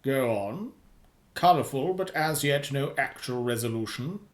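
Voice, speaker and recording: A man's voice: mid-range voice, animated, measured pace, natural voice. Man playing a menacing, sarcastic crime boss, echoey room. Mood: brisk, approving. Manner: clipped, commanding, sardonic. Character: menacing sarcastic male boss of a shady firm. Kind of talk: radio play acting.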